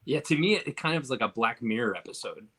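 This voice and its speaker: A man's voice: mid-range voice, lively intonation, quick pace, a bit bright, husky. A man hosting a casual film discussion and handing over to other panelists. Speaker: discussion host.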